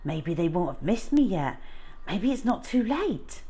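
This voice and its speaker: A woman's voice: lowish voice, very animated, measured pace, dark tone, breathy edge, heavily acted. Woman reading as an earnest, wistful runaway schoolboy. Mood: hopeful, pleading. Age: child. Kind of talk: storybook character reading.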